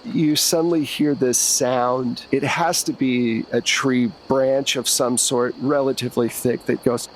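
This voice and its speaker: A man's voice: mid-range voice, steady tone, a little slow, hardly any pauses, a little hiss or hum, low-quality recording. A man running a tabletop roleplaying game as host, narrating in a casual, conversational way. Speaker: game master and show host.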